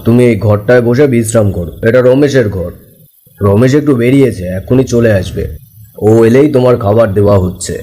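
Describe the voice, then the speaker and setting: A man's voice: mid-range voice, normal speed, mellow, natural voice. A man voicing a courteous older father, polite and hospitable, in cartoon story dialogue.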